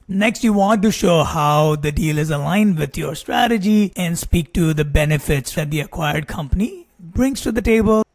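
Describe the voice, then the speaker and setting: High-pitched man presenting a business tutorial. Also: a little mellow. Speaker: presenter, investor relations adviser. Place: small indoor room or home office. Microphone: close desk microphone.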